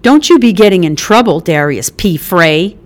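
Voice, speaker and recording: A woman playing a brash, loud mother fresh out of prison. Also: on the low side, expressive, normal speed, lightly acted. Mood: bossy. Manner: loud, bossy and teasing, with motherly endearments. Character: brash, affectionate middle-aged mother just out of prison.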